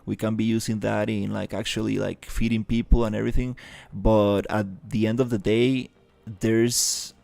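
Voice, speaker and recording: A young man from Rica, chatting casually on a live stream, halting, with many fillers and restarts. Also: on the low side, vocal fry, darkish tone, no echo. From Costa Rica. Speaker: livestreamer.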